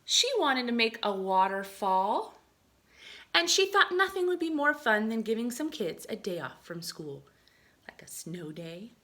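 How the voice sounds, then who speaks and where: A woman reading a picture book aloud to children as a teacher, expressively, often dropping into hushed asides. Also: mid-range voice.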